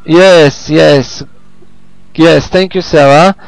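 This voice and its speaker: A man who is not a native English speaker, teaching informally on a group call in a halting, repetitive way as he searches for words. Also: on the high side, fairly even intonation, creaky, dry recording, poor audio. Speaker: host and teacher of an online English class. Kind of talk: informal lesson on a conference call.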